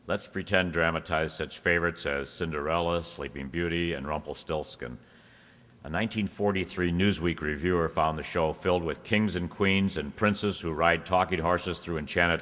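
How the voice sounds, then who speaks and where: A man's voice: a bass voice, fairly bright tone. A man giving a scripted introduction as the announcer for a radio play performance. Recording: echoey hall with long reverb.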